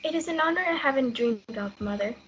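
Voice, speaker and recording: A dutiful teenage daughter played by a female student answers in a high, bright voice. She is hesitant, in a play reading with dull, slightly noisy audio. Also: lively intonation, a little fast. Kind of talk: student reader's theatre. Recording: muffled lo-fi audio, noticeable noise.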